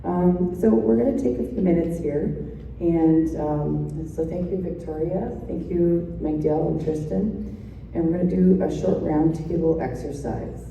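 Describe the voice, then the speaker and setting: A woman with a raspy, hoarse voice from a cold, moderating a forum and giving casual instructions. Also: deep voice, warm tone, a little hiss or hum. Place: conference hall. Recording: echoey hall from far off.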